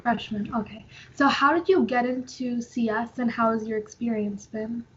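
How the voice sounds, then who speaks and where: A woman's voice: fairly high pitch, fairly even intonation, moderate pace, fairly bright tone, slightly rough, highs cut off. A young woman conducting a casual interview in an informal, affirming way.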